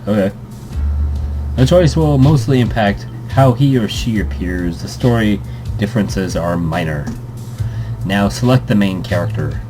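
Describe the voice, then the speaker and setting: A man's voice: fairly low pitch, expressive, a little slow, over music. A young man hosting a let's play, reading a visual novel aloud with joking asides and fillers.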